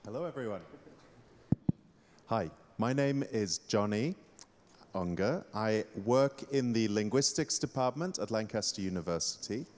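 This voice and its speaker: A man's voice: lowish voice, animated, measured pace, darkish tone, a little hiss or hum. A man from Austria, a university lecturer, giving a friendly, joking welcome speech. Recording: hall reverb.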